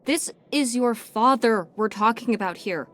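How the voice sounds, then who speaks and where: A curious, quick-witted teenage girl in a story game, pushing her mother with question after question. The voice sits a little high and comes in eager, insistent bursts. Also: fairly bright tone, faint music behind. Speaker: daughter on a road trip. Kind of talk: video game voice acting.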